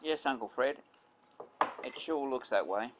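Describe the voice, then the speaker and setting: A woman's voice: extremely low pitch, a little slow, loud music behind the voice. A woman playing a polite young member of a 1940s family in a radio play.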